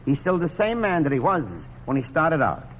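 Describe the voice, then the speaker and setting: A man's voice: on the high side, expressive, moderate pace. A man narrating a scripted comic biography in mock-grand style, setting up punchlines on a vintage radio show. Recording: noisy vintage radio, audience noise. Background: live audience.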